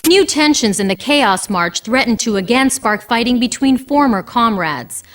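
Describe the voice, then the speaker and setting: An actress as a high-voiced news anchor. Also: female voice, lively intonation, moderate pace, a little hiss or hum, natural voice. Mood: serious. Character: composed, serious female TV news anchor. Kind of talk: scripted news report.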